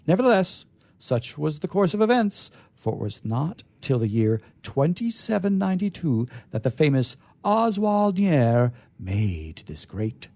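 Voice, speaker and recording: A man reads vintage science fiction aloud with a formal, oratorical air. His voice is dark and relaxed with a gravelly creak, recorded close and dry. He phrases things theatrically, pausing for emphasis and stretching key words. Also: medium pitch, no echo. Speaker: reader at a literature reading. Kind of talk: dramatic reading of a vintage science fiction story.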